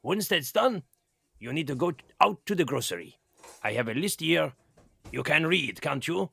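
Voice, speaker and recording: Brusque, bossy head chef. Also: male voice, fairly high pitch, animated, moderate pace, vocal fry, fairly bright tone, a little hiss or hum, exaggerated voice. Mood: curt, impatient. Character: brusque, bossy male head chef ordering his helper. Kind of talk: children's audio drama acting.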